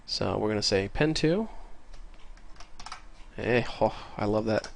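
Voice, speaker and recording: A man with a stuffy, congested voice from a cold walks through code in a relaxed, chatty way, lighting up over small wins. His fairly low voice has a gravelly edge. Faint keyboard clicking sits behind him. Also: takes it slowly, a little hiss or hum. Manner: casual, easily excited, chatty. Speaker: programming tutorial host. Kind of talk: screen-recorded coding tutorial. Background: faint keyboard typing.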